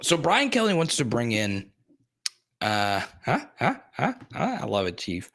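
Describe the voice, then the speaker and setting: A man's voice: mid-range voice, wildly swooping pitch, a little slow, a bit bright. A young man hosting a sports live stream, rambling casually while reading and answering viewers' chat comments in his plain, everyday voice.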